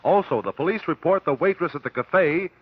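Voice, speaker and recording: An actor playing a persistent examiner at an inquest, asking formal, clipped questions in an old radio drama. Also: male voice, fairly high pitch, expressive, a little fast, close to an everyday voice. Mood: probing, formal. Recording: dull, dry old radio recording.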